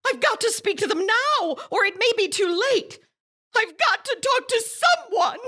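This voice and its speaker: A woman's voice: extremely high pitch, very animated, normal speed, bright, forceful tone, heavily acted. A woman playing a frantic, demanding, nervous wife in a melodramatic radio thriller, imperious and theatrical. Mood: hysterical, pleading. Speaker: actor in a volunteer radio drama.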